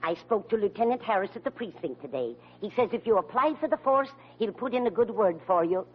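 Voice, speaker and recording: A woman's voice: medium pitch, expressive, a little fast, husky. An actress playing a fond, soothing middle-aged mother with a tactful manner in an old-time radio comedy. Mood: fond, encouraging. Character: fond, encouraging mother of a grown son. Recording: muffled old radio recording.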